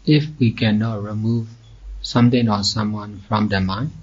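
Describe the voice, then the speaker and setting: A man teaching guided meditation slowly, in measured short phrases with long pauses. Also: lowish voice, steady tone, slightly rough. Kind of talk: slow guided meditation instruction. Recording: dull, muffled lo-fi recording.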